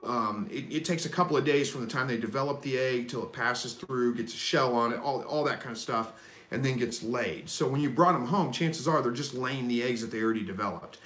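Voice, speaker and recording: A man hosting a live stream, reading viewer questions and answering in a relaxed, folksy, rambling way. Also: mid-range voice, reverberant. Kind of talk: casual live chat Q&A.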